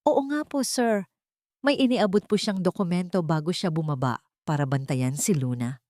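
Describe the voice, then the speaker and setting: A serious security man, played by a woman storyteller, speaks formally and briskly in an audio drama. The recording is very dry and close. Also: fairly low pitch, a little mellow, no echo, lightly acted. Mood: deferential. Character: serious, formal male security chief and guards.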